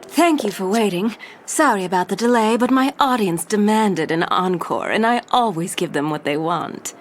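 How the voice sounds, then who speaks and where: Brief: suave female heist-crew leader played by a woman, theatrical, knowing, medium pitch, ambience behind her. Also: normal speed, slight background noise, close to an everyday voice. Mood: self-assured. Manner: theatrical, knowing, smooth-talking. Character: suave, self-assured female crime gang leader.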